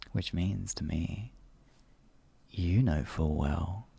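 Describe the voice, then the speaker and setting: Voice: deep voice, drawn-out words, creaky, dark tone, husky, exaggerated voice. A performer voicing an evil, sadistic sorcerer in an ASMR fantasy roleplay, theatrical and condescending, stretching words and slipping into menacing whispers. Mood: mocking menace. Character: evil, sadistic, all-powerful sorcerer, gloating and cruel.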